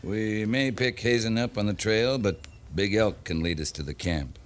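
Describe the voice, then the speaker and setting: Steady, tough frontier captain for a radio western played by a man, fairly low, matter-of-fact and economical. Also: normal speed, natural voice. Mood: firm, matter-of-fact. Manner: firm, terse, matter-of-fact. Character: tough, steady cavalry captain, plain-spoken. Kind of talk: 1950s western radio acting.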